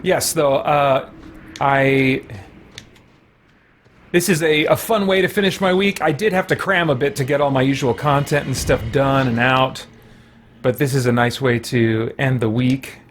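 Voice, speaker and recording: A man's voice: mid-range voice, a little slow. A middle-aged man chatting casually as he hosts a game livestream, thinking aloud in a rambling way. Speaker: livestream host playing a video game. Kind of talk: casual live game commentary and chat. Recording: noticeable background noise.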